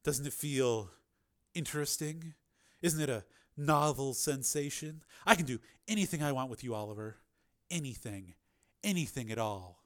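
A male narrator plays a dominant, teasing woman in an audiobook. The delivery is deliberate and ominous, giving orders with controlled authority. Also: medium pitch, animated, fairly bright tone. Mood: slow teasing.

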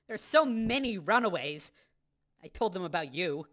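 An actress voicing a distraught estranged mother, anxious, halting and tearful in a dramatized audiobook. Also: lowish voice, sing-song, fairly bright tone.

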